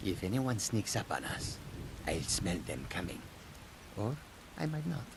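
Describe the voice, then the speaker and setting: A man playing a gruff, keen-nosed companion in a fantasy game, speaking in a dry, gravelly way. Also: on the low side, big pitch swings, measured pace, noisy background, exaggerated voice. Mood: dry, watchful. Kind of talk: video game character dialogue. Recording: rain and thunder over voice.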